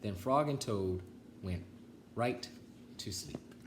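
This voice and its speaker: A man reads aloud for children in a fairly low voice. His intonation is lively and varied, with deliberate pauses between phrases. Faint noise runs behind him. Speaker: children's storytime reader. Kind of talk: read-aloud storytelling for children.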